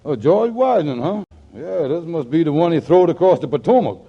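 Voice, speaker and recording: A wily, folksy male schemer from a 1940s radio comedy. His voice sits high with a creaky edge, and he banters skeptically in ungrammatical speech on a muffled old recording. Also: animated, a little fast. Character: wily, folksy, skeptical male lodge leader buying cheap furniture.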